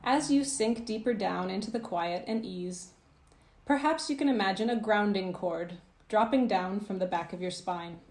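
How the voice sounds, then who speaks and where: Woman guiding a meditation in a roomy echo. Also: mid-range voice. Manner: soothing, gentle, measured with trailing phrase endings. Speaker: coach guiding a visualisation. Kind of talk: guided meditation, scripted narration.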